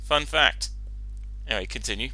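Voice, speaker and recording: Male casual commentator, mid pitch, very forward bright tone, rambling and hesitant, music faintly behind. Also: normal speed, dry recording, poor audio. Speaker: reaction commentator.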